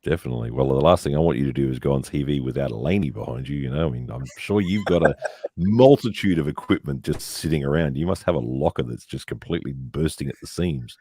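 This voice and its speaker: Male stream host, low and warm, dry close sound, casual chatter with fillers. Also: quick pace, no room sound. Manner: chatty, says "mate" and "uh". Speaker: livestream host. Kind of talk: hosting a live stream.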